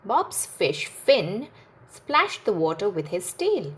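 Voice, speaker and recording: Brief: woman storyteller for children, unhurried, very lively pitch. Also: husky. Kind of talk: reading a picture book aloud.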